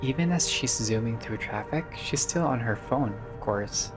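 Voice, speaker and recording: A man doing a casual game playthrough, reading dialogue aloud and reacting with expressive, slangy asides. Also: medium pitch, normal speed, over music. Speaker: gaming video player reading dialogue aloud.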